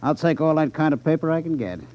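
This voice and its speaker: A man's voice: a little high, animated, lightly acted. Man acting a sly, swooping gambling racket boss. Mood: smug, cool. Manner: smooth false friendliness, sarcastic, slow deliberate emphasis. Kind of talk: 1950s radio drama acting. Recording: dull 1950s radio broadcast recording.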